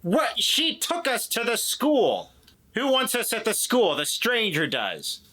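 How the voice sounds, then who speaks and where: A man's voice: very high-pitched, wildly swooping pitch, slow pace, pushed and bright, voice pushed up, exaggerated voice. A man playing a defensive, evasive and distrustful man in a tabletop drama, stammering and repeating himself as he explains. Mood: sarcastic, indignant.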